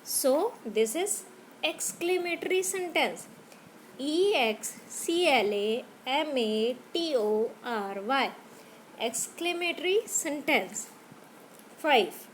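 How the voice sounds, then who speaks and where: A woman teacher with an Indian English accent, slowly spelling out grammar words letter by letter for young pupils. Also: high voice, lively intonation, a little hiss or hum. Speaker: school teacher.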